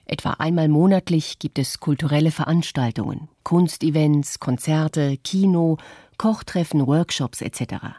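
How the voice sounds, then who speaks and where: A woman voicing an adult artist who recounts memories in a measured, earnest way, as scripted radio documentary narration. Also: low-pitched, dark tone, no echo, clean studio sound. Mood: sober, reflective.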